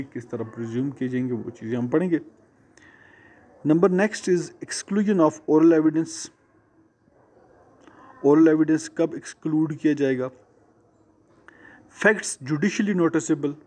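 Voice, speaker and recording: A man with a Pakistani accent lecturing students as a law teacher, explaining deliberately and repeating and rephrasing his points. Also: medium pitch, mellow, husky. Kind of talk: exam-preparation lecture to students, mixing Urdu and English.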